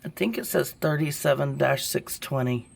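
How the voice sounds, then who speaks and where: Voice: mid-range voice, animated, normal speed, vocal fry. A vlogger who has lost their voice to illness, chatting casually while showing an old find and thinking aloud. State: hoarse from illness. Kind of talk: casual show-and-tell chat. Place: outdoors by a home.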